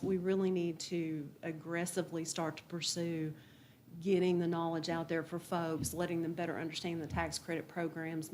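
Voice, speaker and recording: A woman with a big, slightly low voice talks earnestly and folksily to a planning board. She is in a public meeting room, with faint noise under the recording.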